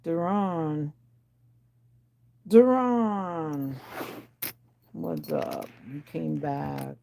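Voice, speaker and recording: A sleepy middle-aged woman, raspy from a sinus infection, chatting casually with viewers on a phone livestream from home and teasing them by name. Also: low-pitched, background noise. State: sleepy and exhausted. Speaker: livestream host. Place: at home indoors.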